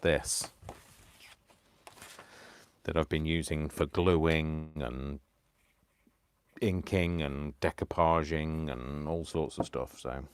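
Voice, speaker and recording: Deep-voiced tired man rambling. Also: fairly even intonation, takes it slowly, pauses often, smooth. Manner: chatty, self-deprecating, talks to himself. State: tired, keeps clearing his throat. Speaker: live-stream crafting host. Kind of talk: rambling casual chat while crafting.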